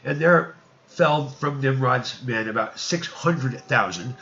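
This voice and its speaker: Forceful man reading old scripture aloud. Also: medium pitch, takes it slowly, muffled recording, slight background noise. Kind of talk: amateur read-aloud of archaic narrative.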